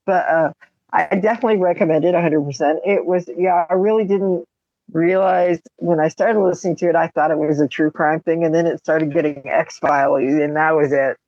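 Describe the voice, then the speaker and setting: A woman's voice: low voice, fairly even intonation, brisk, a little mellow, no room sound. A woman co-hosting a podcast, giving emphatic opinions in casual chat over a slightly dull, lo-fi microphone. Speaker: podcast host. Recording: slightly dull, lo-fi mic sound.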